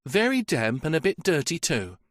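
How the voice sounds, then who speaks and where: A man's voice: fairly high pitch, wildly swooping pitch, moderate pace, a bit bright, no room sound, voice pushed up. A talkative old housekeeper woman, as a male reader puts her on, chattering and gossiping about the household. Her voice sits well above a man's and jumps about animatedly. It is recorded dry and close. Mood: gossipy.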